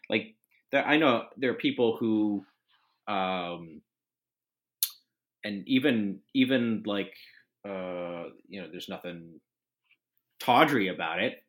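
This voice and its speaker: A man's voice: fairly low pitch, fairly bright tone. A man hosting a casual podcast chat, rambling through his thoughts with many fillers and restarts. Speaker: podcast host.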